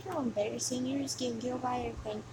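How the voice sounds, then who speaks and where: A woman's voice: on the high side, fairly even intonation, natural voice. A woman voicing a mocking, sneering demon who taunts the player in amateur video game voice lines. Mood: bored, exasperated contempt. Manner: taunting, scornful, drawn-out sighing delivery. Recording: noisy amateur home recording.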